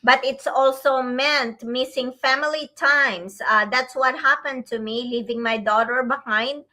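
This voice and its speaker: Older woman from the Philippines, co-host; high-ish voice, slow earnest delivery. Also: steady tone, sharp tone. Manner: slow, earnest, with frequent fillers. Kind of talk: casual online talk show.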